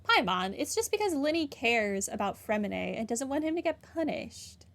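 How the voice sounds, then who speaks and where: A woman voicing a calm, observant young traveler in a game, with a casual, thoughtful way of talking. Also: fairly high pitch, moderate pace.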